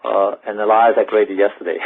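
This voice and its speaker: Low-voiced man on a tinny phone line. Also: little pitch movement, unhurried, creaky. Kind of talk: casual work call. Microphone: phone or conference call. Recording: tinny narrow phone-like call.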